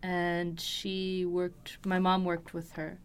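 Low, even-toned young woman. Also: slow, clean tone. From Los Angeles, USA. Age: 20s. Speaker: interview guest. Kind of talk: casual personal storytelling in an interview. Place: recording studio.

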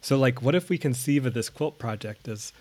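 A man hosting a casual conversation, speaking in a relaxed, easygoing and fluent way. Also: medium pitch, fairly quick, no echo.

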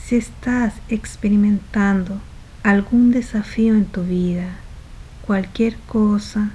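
A woman leading a guided meditation softly and without hurry, phrase by phrase, letting the ends of her phrases trail off into breath. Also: medium pitch, fairly even intonation, rough recording. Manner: soft, unhurried, phrase by phrase with trailing breathy endings.

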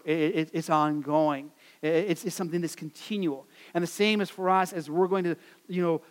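American male pastor, high voice, earnest sermon delivery, echoing church hall. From United States. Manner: earnest, repetitive emphasis, many fillers.

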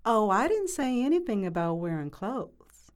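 A woman's voice: medium pitch, big pitch swings, normal speed, lightly acted. A woman playing a frisky, confident small-town wife in a comic audio drama. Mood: sultry, teasing.

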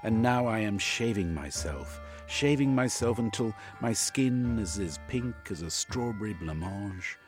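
A man voicing an anxious, overwrought gentleman in an audiobook, with a dramatic, exclamatory way of talking. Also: lowish voice, animated, unhurried, few pauses, faint music behind, voice pushed up. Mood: troubled, earnest. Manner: dramatic, exclamatory, with trailing 'eh?'. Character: Velvin, anxious, overwrought gentleman confessing his dreams. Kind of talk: audiobook character acting.

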